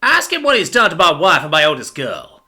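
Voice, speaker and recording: A man's voice: on the high side, huge pitch swings, brisk, bright, forceful tone, pitched above the performer's own voice, heavily acted. A man voicing a crazed, vengeful father mouse in a story, ranting in a righteous, theatrical way. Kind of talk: dramatic storybook character voice.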